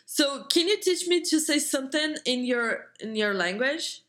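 A woman who did not grow up speaking English hosts a relaxed conversation in a bright, slightly high voice. She sounds curious and eager, asking questions and laughing easily. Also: normal speed. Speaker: podcast host. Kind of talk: casual chat.

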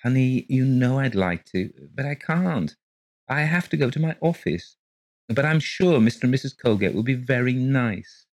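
A man's voice: mid-range voice, animated, normal speed, no room sound, pitched above the performer's own voice, lightly acted. A man voicing a polite, hesitant young mother who is letting out rooms. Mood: flustered.

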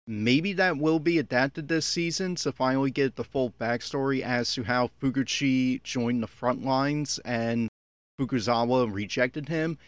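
A man delivers off-the-cuff commentary with a critical, exasperated edge. His voice sits at a middling pitch and is recorded close and dry, with very little room sound. He speaks as an opinionated podcaster reacting to what he watches. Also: a bit bright, smooth, no room sound. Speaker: podcaster giving episode commentary. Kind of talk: unscripted audio commentary on an anime episode.

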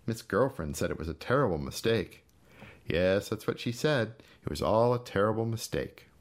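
A man's voice: lowish voice, expressive, normal speed, voice pushed up, lightly acted. A man voicing a vengeful, sadistic storybook character who taunts his helpless brother with mocking, menacing questions. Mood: taunting, bitter. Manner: mocking, menacing, sing-song rhetorical questions.

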